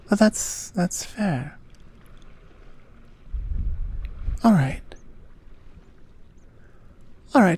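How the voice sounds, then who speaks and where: A woman acts out an Amazonian queen with a mellow, husky voice. She is gentle and earnest, speaking in soft, unhurried, half-whispered phrases as in an intimate ASMR audio drama. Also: mid-range voice, exaggerated voice. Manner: soft, breathy, measured, half-whispered delivery.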